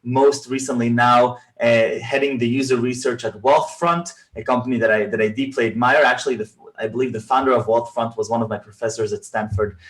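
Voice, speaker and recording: A man's voice: mid-range voice, steady tone, a little fast, few pauses, low-quality recording. A man hosting an interview over a video call, enthusiastic and often restarting his words as he asks questions. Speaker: podcast host. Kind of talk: casual interview talk.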